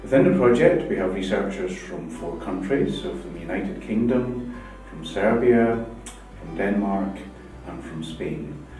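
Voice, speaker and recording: A middle-aged man, a professor, explaining his research project to camera in a measured way. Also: sits low, expressive, a little mellow. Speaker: professor of communications and project coordinator. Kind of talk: interview to camera. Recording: echoey room from far off with music behind.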